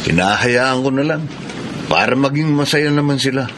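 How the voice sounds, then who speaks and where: A man playing an easygoing seaman buddy in a Filipino radio drama. He is chatty and indulgent, with a middling pitch, heard over clearly noisy ambience. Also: animated, moderate pace, natural voice. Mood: resigned.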